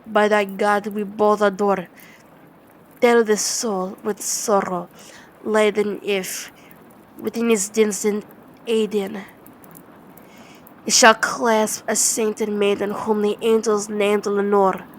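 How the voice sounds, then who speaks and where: A woman's voice: medium pitch, little pitch movement, takes it slowly, pauses often, a little hiss or hum, lightly acted, poor audio. A woman voicing an anguished, grief-stricken man in a dramatic gothic poetry recitation, stumbling over words. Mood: desperate, imploring.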